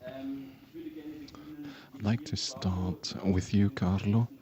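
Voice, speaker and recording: Low-voiced man moderating a panel. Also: animated, extremely slow, dull audio, crowd noise. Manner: hesitant, self-deprecating, with frequent fillers. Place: public event hall.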